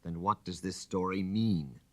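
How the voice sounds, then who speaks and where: A man's voice: sits low, a little slow, a little mellow, no echo. A man voicing a wary, outwardly polite aristocratic count in an audiobook, speaking formally with feigned amusement. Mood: suspicious.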